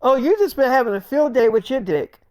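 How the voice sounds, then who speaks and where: Guy doing a hurt angry girlfriend, higher voice, accusing n sweary, repeats herself, dry sound. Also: fairly even intonation, fairly quick, a little mellow, clean tone, no room sound. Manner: accusing, profane, repeating phrases for emphasis. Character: angry betrayed young woman confronting people.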